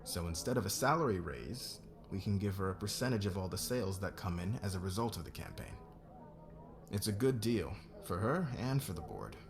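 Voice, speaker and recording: A man's voice: sits low, lively intonation, brisk, a crowd in the background. A man playing a demanding, driven fashion boss in an ASMR roleplay audio drama, with a commanding, persuasive manner. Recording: clear background ambience noise.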